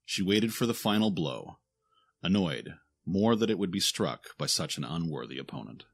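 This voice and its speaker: Deep gravelly man narrating an audio drama. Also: lively intonation, normal speed. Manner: dramatic, solemn storytelling.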